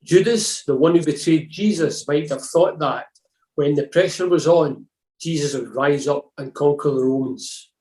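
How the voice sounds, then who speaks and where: Man, church reader, earnest, calm, mellow tone, echoey room. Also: fairly high pitch, normal speed. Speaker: devotional reader. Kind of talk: scripted church reflection reading. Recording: roomy echo.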